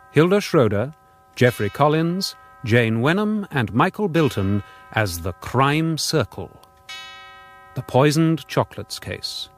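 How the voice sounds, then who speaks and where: Male radio announcer over guitar music. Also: mid-range voice. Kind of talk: scripted radio drama announcement. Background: plucked guitar music.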